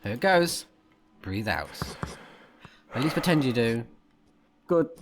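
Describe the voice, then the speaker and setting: A man doing casual let's play commentary, blunt, sweary and expressive, sometimes reading game text aloud. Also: medium pitch, slow pace. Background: game music.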